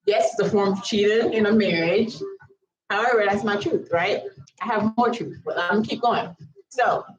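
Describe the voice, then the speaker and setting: A woman's voice: mid-range voice, normal speed, lo-fi sound. A woman of about thirty, telling a personal story casually in a chatty, slangy way full of asides. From US Virgin Islands. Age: around 30. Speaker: storytelling vlogger.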